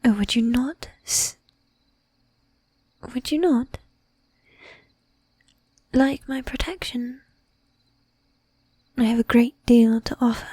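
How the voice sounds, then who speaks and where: Woman playing a breathy, whispering, courtly female vampire. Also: a little high, steady tone, mellow, no room sound. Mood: flirtatious, persuasive. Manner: formal, archaic diction, slow and deliberate. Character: flirtatious, bossy, possessive female vampire, courtly and formal. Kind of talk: ASMR roleplay. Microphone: close microphone, dry.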